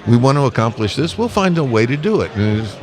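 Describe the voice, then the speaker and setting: A man's voice: mid-range voice, big pitch swings, quick pace. A man being interviewed as a public relations manager, answering conversationally in an enthusiastic, talkative, emphatic way. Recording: live event with loud crowd behind. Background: busy crowd at a convention.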